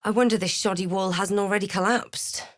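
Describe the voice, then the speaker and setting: A woman playing a watchful, wry fantasy adventurer in a video game, recounting her journey in a measured storybook way with dry asides. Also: mid-range voice, fairly even intonation, dry recording, close to an everyday voice. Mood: wary, wry. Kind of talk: video game character voice lines.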